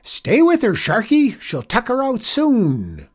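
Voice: mid-range voice, huge pitch swings, measured pace, a little mellow, husky, pitched above the performer's own voice, heavily acted. A narrator voicing an exuberant male school janitor who hollers and coaches like a folksy rodeo cowboy in a children's story. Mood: whooping excitement.

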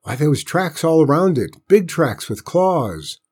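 A man's voice: sing-song, unhurried, a little mellow, breathy edge, heavily acted. A man voicing a proud, stubborn eight-year-old boy with a rural Southern US accent for a story. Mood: insistent. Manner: eager, earnest, raised pitch. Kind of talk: storybook character voice in narration.

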